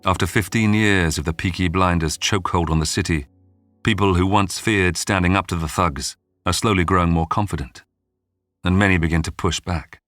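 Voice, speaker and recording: A man reading scripted true-crime narration in a measured, dramatic way with suspenseful pauses. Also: sits low, steady tone, fairly bright tone, dry recording. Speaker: documentary narrator. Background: background music.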